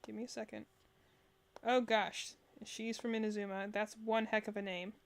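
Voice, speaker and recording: A woman's voice: medium pitch, level tone, normal speed, gravelly. A woman giving casual reaction commentary as a streamer, rambling and thinking aloud as she goes. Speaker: streamer reacting to game character demos.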